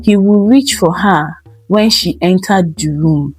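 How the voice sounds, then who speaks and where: A woman tells a dramatic scripted tale at a slow, deliberate pace. Her voice is husky and sits at a middle pitch, with faint music behind it. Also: low-quality recording. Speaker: storyteller. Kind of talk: dramatic scripted narration of a folktale.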